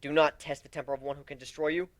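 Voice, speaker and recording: A man's voice: a little high, level tone, fast, close to an everyday voice. A man voicing a wounded, vengeful but fragile male griffin warrior in a theatrical dramatic story reading. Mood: defiant. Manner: intense, theatrical, swinging into brooding.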